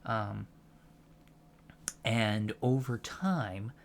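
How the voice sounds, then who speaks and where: Soft spoken guy doing asmr professor roleplay, really slow, pedantic little asides, bit of hum behind him. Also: fairly low pitch, gravelly. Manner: halting, pedantic, explanatory asides. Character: patient alien professor lecturing on humans, fascinated by them. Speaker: ASMR roleplay performer playing an alien college lecturer.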